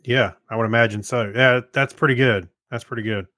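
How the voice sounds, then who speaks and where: A man with a mid-pitched voice chats casually as a podcast co-host. He is low-key and a little hesitant, meandering as he talks, and the sound is dry with no room echo. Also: fairly even intonation, fairly quick, sharp tone.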